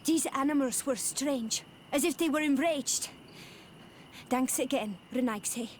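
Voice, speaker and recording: A gentle young village daughter in a video game. Her voice is high, earnest and simple, over faint noise. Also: measured pace. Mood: earnest, thoughtful. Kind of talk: video game dialogue acting.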